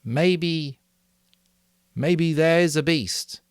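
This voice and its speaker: A man doing a shy, halting young boy. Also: fairly high pitch, clean tone, no room sound, clean studio sound, voice pushed up. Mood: hesitant. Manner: slow, halting, unsure. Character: shy awkward boy struggling for words.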